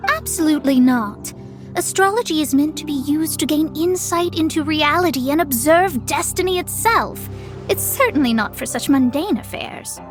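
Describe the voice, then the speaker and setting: A woman's voice: high-pitched, lively intonation, moderate pace. A woman playing a proud, formal young astrologer with theatrical delivery in a video game. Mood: indignant, proud. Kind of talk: video game character acting. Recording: game dialogue with music behind.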